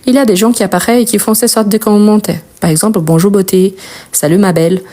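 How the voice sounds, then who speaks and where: Brazilian-born woman teaching french, portuguese native, warm mellow voice, slow and patient, repeats the words. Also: mid-range voice, steady tone. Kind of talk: explaining grammar to learners.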